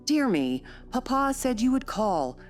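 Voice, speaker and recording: A woman's voice: on the high side, big pitch swings, measured pace, soft background music, pitched above the performer's own voice. A woman voicing a peevish, sickly teenage boy who whines and complains fretfully in an audiobook story. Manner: whining, complaining.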